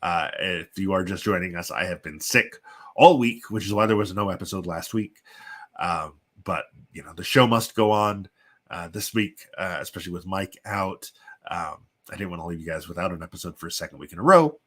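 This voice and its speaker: A man with a congested, sniffly voice from a chest cold, hosting and giving casual, halting play-by-play commentary on simulated wrestling. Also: low-pitched, fairly bright tone. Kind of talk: casual live play-by-play commentary with chatty asides.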